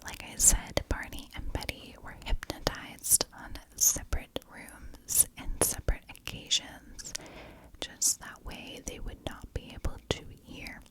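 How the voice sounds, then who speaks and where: A woman telling a story in an ASMR style, with hushed, deliberate narration. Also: low-quality recording. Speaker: ASMR true crime host.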